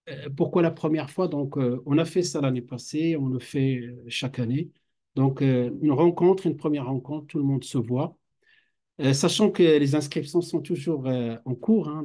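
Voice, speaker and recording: A man teaches a class of students in a classroom. His voice sits a little high for a man, and he talks in a halting way, restarting sentences and dropping phrases into his French. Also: dark tone. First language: Arabic. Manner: hesitant, many fillers and restarts, mixes Arabic phrases. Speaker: teacher at an Islamic institute. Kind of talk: classroom lecture.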